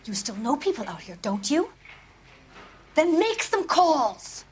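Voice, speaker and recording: An impatient, scheming woman in a crime video game. Her voice is high and swings widely as she insists and demands. Faint noise sits behind it. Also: sing-song, slow, heavily acted. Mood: angry, demanding.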